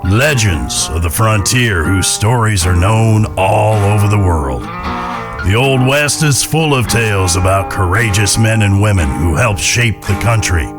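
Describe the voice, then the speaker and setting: Guitar music plays behind a man performing a folksy Old West narrator. His voice is low, and he tells the tale with gravity and dry wit, as in an audio drama. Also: lively intonation, a little slow. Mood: grave. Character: folksy Old West storyteller, grave and wry.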